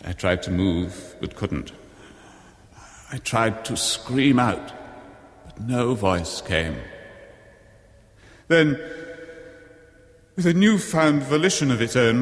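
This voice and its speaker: An actor in a 1970s horror radio drama playing a jealous husband, haunted and brooding, telling his murderous story in a halting, theatrical way. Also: on the low side. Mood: dread. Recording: long cavernous reverb on voice.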